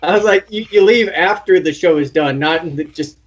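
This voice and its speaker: A man hosting a casual online pop culture chat show, chatty and quick to laugh. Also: a little high, lo-fi sound. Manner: chatty and joking, laughs a lot. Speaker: podcast host.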